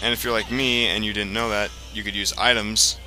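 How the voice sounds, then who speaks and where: A man giving casual, explanatory gameplay commentary in a halting way, as the host of a let's play. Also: on the low side, flat delivery, rough recording. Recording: game music behind the voice. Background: video game music.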